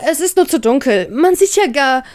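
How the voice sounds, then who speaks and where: Woman acting a high-pitched, flustered young woman. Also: lively intonation, normal speed, a little mellow, dry recording. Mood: flustered, defensive. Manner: stammering, embarrassed, whiny. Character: shy, tearful, easily flustered young woman in love.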